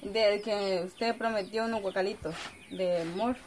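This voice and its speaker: A young woman chatting lively while cooking in an outdoor rustic kitchen, recorded on a phone. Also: medium pitch, a little slow, slight background noise. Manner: lively, explaining. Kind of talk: casual cooking chat. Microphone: handheld phone camera.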